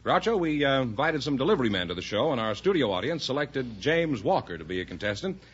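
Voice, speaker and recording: A man's voice: mid-range voice, big pitch swings, fast talker, slightly rough. An American man announcing a vintage radio show in a scripted introduction. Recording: dull vintage radio broadcast recording. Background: loud music.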